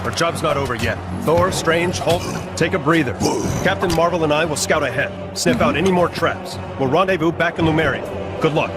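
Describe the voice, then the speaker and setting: An actor playing a steady male hero leading his team with calm, commanding orders in a game cutscene. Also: on the high side, normal speed, over loud music, lightly acted. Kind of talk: video game cutscene acting.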